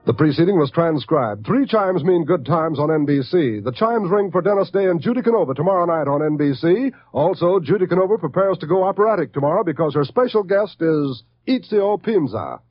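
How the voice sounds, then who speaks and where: A man's voice: a little high, expressive, brisk, few pauses, dry recording, soft background music. A man reading a scripted network promo in 1950s radio announcer style. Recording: dry, muffled old radio broadcast.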